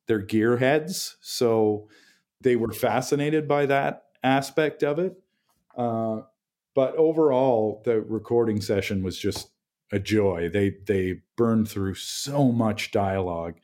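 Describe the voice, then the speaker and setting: A man with a deep voice, a game designer talking casually in an interview, relaxed and full of fillers. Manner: relaxed, chatty, many fillers and restarts.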